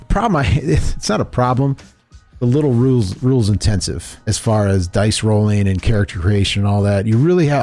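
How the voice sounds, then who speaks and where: A man's voice: on the low side, mellow. A middle-aged man hosting a podcast, chatting loosely about a tabletop game with lots of fillers and restarts. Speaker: host presenting a tabletop game. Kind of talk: casual podcast chat. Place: shop or studio room.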